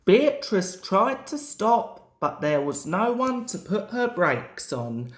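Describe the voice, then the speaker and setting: Young guy narrating a kids storybook, unhurried, very up and down pitch, echoey room. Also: sing-song. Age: young adult. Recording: roomy, echoing home recording.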